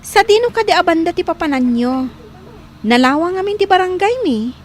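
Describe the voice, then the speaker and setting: A woman's voice: very high voice, expressive, brisk, slight background noise. A woman acting in a radio drama as a helpful, talkative young village woman. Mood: helpful, gossipy.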